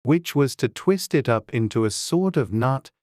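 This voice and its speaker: A man's voice: mid-range voice, animated, moderate pace, darkish tone, no room sound, studio quality. A man reading scripted storybook narration as an audiobook narrator.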